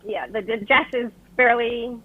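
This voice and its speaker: A Barbadian woman, an author, chatting in a call-in interview, relaxed and quick to laugh. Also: on the high side, breathy edge. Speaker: guest author. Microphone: telephone. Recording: phone line.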